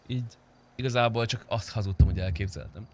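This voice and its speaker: A man's voice: on the low side, fairly even intonation, darkish tone, slight background noise. A young man streaming a roleplay game, chatting casually with crude, teasing banter and plenty of swearing. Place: indoor room, streaming setup.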